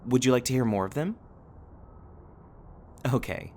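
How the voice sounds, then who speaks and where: A man's voice: mid-range voice, unhurried, a little mellow, a little hiss or hum, natural voice. A man playing a cheerful, literal-minded robot companion in a scripted sci-fi roleplay, speaking in formal, precise, over-explaining sentences. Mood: cheerful, helpful.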